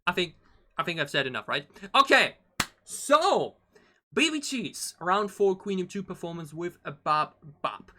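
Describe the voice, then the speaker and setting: A man giving casual reaction commentary as a video host, excitable and rambling, often repeating his phrases. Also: high voice, a little slow. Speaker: host of a K-pop reaction channel. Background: pop music from the video being watched.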